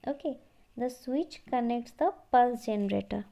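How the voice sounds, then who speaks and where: A woman giving an explanatory slide lecture, halting and repeating phrases as she goes. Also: fairly high pitch, creaky, mellow. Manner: halting, repeats phrases, often says okay.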